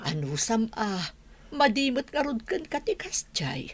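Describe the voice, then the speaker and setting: A woman's voice: a little high, big pitch swings, measured pace, slightly rough, heavily acted. A woman playing an anxious middle-aged madam in a radio comedy drama, fretful and sometimes stammering. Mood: concerned.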